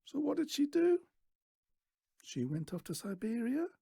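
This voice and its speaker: A narrator doing an earnest, high-voiced young boy. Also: sing-song, measured pace, gravelly, dark tone, breathy edge, dry recording, exaggerated voice. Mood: innocent, puzzled. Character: earnest, polite, innocent young boy at fairground.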